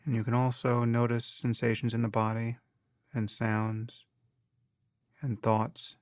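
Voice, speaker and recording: A man guiding a meditation as a teacher, calm and measured, speaking in short phrases with long pauses. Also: mid-range voice, clean tone, dry recording, studio quality. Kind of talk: guided meditation instruction.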